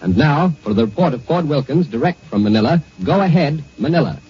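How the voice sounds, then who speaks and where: Male radio anchor, very raspy, formal scripted 1940s delivery, lo-fi muffled audio. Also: a little high, animated, a little fast.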